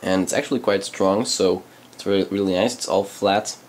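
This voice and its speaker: Dutch native speaker, male, very low voice, halting hobbyist unboxing talk. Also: steady tone. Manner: halting, many ums and uhs. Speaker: channel host reviewing PC parts. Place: indoors at a desk, hands on camera.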